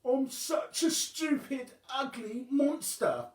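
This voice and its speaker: A man voicing a huge, self-loathing but kindly female goblin monster from a children's storybook, with loud, drawn-out exclamations. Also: very high voice, sing-song, roomy sound, voice pushed up, full character voice. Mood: anguished.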